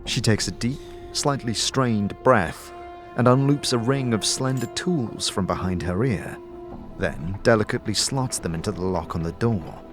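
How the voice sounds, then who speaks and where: A man giving measured, descriptive, dramatic narration as the storyteller of a scripted fantasy audio drama. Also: lowish voice, music in the background. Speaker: narrator and game master.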